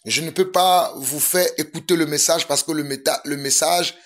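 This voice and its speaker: A man's voice: a little high, steady tone, moderate pace, a bit bright. A man from Togo, preaching a fervent sermon that mixes prayer with urgent exhortation and repetition. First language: a Togolese language.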